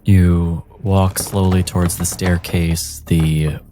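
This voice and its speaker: A man's voice: sits low, little pitch movement, takes it slowly, mellow, a little hiss or hum. A man narrating a tabletop game as game master, setting suspenseful scenes.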